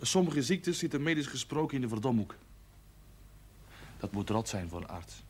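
Man acting blunt cynical guy, medium pitch, terse, old tv drama, bit of noise. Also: big pitch swings, moderate pace, natural voice. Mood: grim. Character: blunt, cynical man hiding a sensitive streak. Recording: noisy 1970s television soundtrack.

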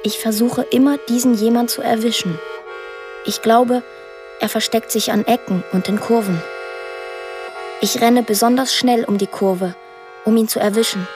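An actress voicing a lonely, imaginative eight-year-old girl in a radio drama monologue, plain, deliberate and earnest. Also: on the high side, fairly even intonation, music in the background, lightly acted. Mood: wistful, matter-of-fact.

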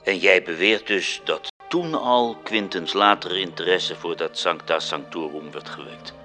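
An actor playing a stern, skeptical superior angel in a radio drama. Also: male voice, a little slow, sharp tone, soft background music. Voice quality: deep, skeptical. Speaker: listener questioning the narrator.

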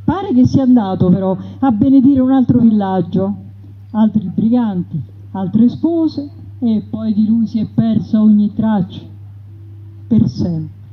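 A woman's voice: medium pitch, measured pace, husky. A woman narrating a theatrical story in a lilting, dramatic way. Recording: dull radio broadcast with music.

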